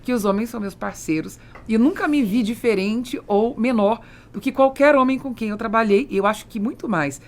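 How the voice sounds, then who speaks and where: A woman's voice: fairly high pitch, brisk, hardly any pauses. A woman with a Brazilian accent hosting a podcast in a conversational interview style, telling stories in an emphatic way. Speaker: podcast host.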